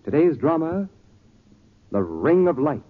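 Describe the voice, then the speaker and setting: A man announcing a 1940s radio show and pitching its sponsor in an emphatic, declamatory scripted delivery. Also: sing-song, unhurried. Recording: tinny, muddy 1940s radio recording.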